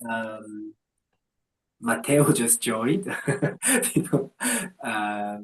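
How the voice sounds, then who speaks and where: A man's voice: lowish voice, poor audio. A man hosting an online group call and interpreting between speakers. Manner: brisk, relays others' words. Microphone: video call.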